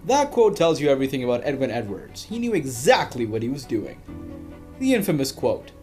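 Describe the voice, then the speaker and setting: A man's voice: mid-range voice, very animated, darkish tone, soft background music. Man narrating a dramatic history story. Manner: dramatic storytelling with emphatic pauses. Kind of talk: scripted documentary narration.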